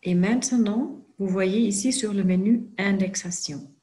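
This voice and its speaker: Slow, careful woman. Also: mid-range voice, mellow. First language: not French. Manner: slow, careful delivery with frequent hesitations. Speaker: presenter for a genealogy organization. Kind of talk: screen-share tutorial presentation.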